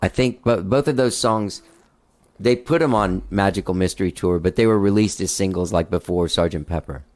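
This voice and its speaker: A man with a high voice, hosting a loose, rambling interview full of "like" and swearing. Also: moderate pace, a little mellow. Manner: rambling, swears freely, many "like" fillers. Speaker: podcast host. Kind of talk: casual interview chat.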